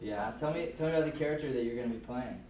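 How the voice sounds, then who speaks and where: A man hosting upbeat on-camera interviews with a showman-like, enthusiastic manner, calling people over loudly. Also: medium pitch, very fast. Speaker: interviewer at a kids' film camp. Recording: echoey room, far from mic, noisy.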